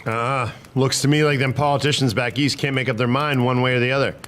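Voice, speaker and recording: A man's voice: mid-range voice, steady tone, quick pace, fairly bright tone, close to an everyday voice. A man playing a principled, stubborn cavalry private in a historical drama, arguing in folksy frontier speech. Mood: weary, doubtful.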